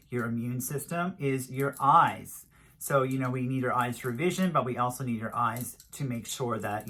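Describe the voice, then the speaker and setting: A man's voice: mid-range voice, pushed and bright. A middle-aged man giving an informal product review as a beauty vlogger, emphatic and repetitive, stretching out key words. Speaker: beauty vlogger and skincare advisor.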